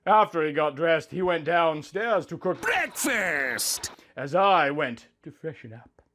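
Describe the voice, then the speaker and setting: Romance story narrator: lovestruck young bride, performed by a man, overwrought and swooning, highly animated pitch. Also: slow pace, a little hiss or hum, full character voice. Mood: dreamy, contented. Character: young woman narrating her honeymoon, breathy, lovestruck. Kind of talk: melodramatic romantic fan fiction reading.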